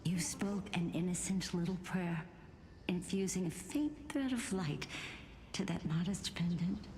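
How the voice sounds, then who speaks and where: A woman playing an aged, tender and grave grandmother spirit of a sky people in a video game, with slow, breathy pauses. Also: on the low side, a little mellow, slight background noise, lightly acted. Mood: tender, wistful. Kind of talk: dramatic video game voice acting. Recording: music under the voice.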